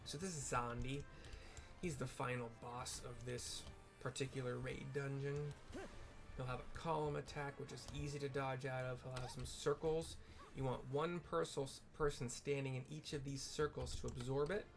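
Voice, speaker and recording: A man's voice: mid-range voice, level tone, normal speed, music in the background. A man giving casual, rambling gameplay commentary, explaining and describing what happens as he plays. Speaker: video game streamer and narrator.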